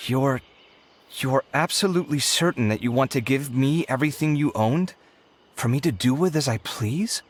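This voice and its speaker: A man's voice: mid-range voice, moderate pace, a little hiss or hum, natural voice. A man voicing a proud, idealistic young scholar with earnest, emotional delivery in a dramatic video game style. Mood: incredulous.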